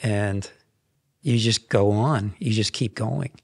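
A man in his fifties, a songwriter guest in a podcast studio, telling stories in a rambling, animated way with frequent restarts. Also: lowish voice, takes it slowly, husky, dry recording. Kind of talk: casual interview storytelling. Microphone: headphones and boom mic.

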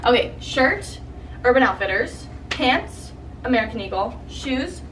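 A woman's voice: a little high, expressive, measured pace, fairly bright tone, slight background noise, rough recording. A young woman talking to a handheld camera in a room and a car, chatty and upbeat with lots of fillers. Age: young adult. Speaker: vlogger. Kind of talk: casual chat to camera. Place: hotel room, then parked car.

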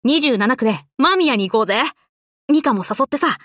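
An actress voicing a brash, cocky but loyal young tomboy warship girl in an anime game, with rough, boyish, casual speech. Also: high voice, lively intonation, moderate pace, fairly bright tone, no echo, clean studio sound. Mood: breezy, confident. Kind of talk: Japanese anime game character voice lines.

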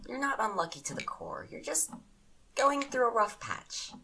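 A woman's voice: on the high side, big pitch swings, moderate pace, noisy background. A woman playing a bossy, caring young goth witch roommate in an audio drama, teasing fondly. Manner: bossy, mock-threatening, fond teasing.

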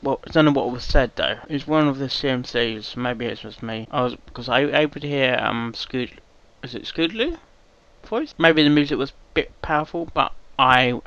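A man's voice: on the high side, a bit bright, no echo. Rambling man on a dull lo-fi mic. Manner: rambling, hesitant, with restarts and jokes. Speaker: reaction video host. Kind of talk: casual reaction commentary.

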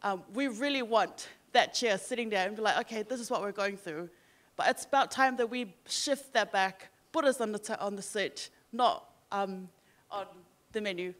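A woman's voice: medium pitch, normal speed, fairly bright tone. A young woman from Samoa, a guest on a panel on an event stage, telling a story casually with many fillers into a handheld microphone. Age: young adult. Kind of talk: panel discussion. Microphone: handheld mic.

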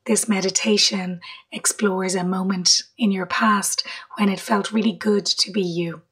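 A woman leading a guided meditation in a soft, breathy voice, gently, with long pauses between short phrases. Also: mid-range voice, fairly even intonation. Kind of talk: guided meditation, slow and measured.

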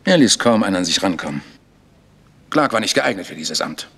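A man's voice: deep voice, big pitch swings, a little fast, fairly bright tone, breathy edge, heavily acted. An actor playing a defensive, resigned village churchman in a German radio crime drama, explaining himself emphatically. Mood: bitter, resigned.

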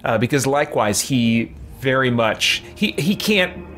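A man's voice: medium pitch, lively intonation, a little slow, a bit bright, soft background music. A man in his forties giving casual, reflective, rambling gameplay commentary as a streamer. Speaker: game streamer. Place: small room with shelves, indoors. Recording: game ambience as clear background noise.

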